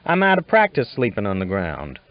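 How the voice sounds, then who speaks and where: A man's voice: lowish voice, wildly swooping pitch, a little fast. A man voicing a talkative, easygoing, teasing cowboy with folksy phrasing in an audiobook. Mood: wry, argumentative. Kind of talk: audiobook character dialogue. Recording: dull lo-fi audiobook recording.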